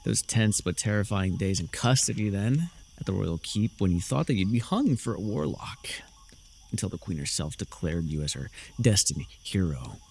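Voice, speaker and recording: A man playing a proud, menacing, persuasive warlord villain in a fantasy audio roleplay, speaking theatrically and sardonically straight to the listener, moving between soft coaxing and forceful declamation. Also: fairly low pitch, lively intonation, normal speed, vocal fry, breathy edge, heavily acted. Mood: quietly taunting.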